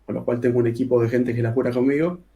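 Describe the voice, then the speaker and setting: A man's voice: mid-range voice, level tone, quick pace, highs cut off. A man with a Rioplatense Spanish accent, an author talking casually in an interview, colloquial, with many filler sounds and restarts. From Argentina. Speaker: author guest.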